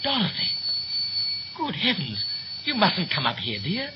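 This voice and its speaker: An actor playing a brisk, bossy young ship's officer in a 1930s radio play, with a clipped, commanding, theatrical manner. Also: male voice, sits high, huge pitch swings, full character voice. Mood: fond, hurried. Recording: muffled, noisy 1930s radio recording.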